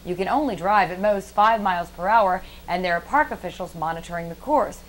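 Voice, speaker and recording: A woman's voice: fairly low pitch, fairly quick, a little hiss or hum, poor audio. A woman reading scripted local news stories as a TV anchor. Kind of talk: scripted newscast narration.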